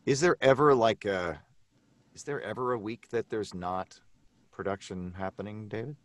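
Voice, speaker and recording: Very deep-voiced man in casual chat. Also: moderate pace. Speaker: podcast cast member.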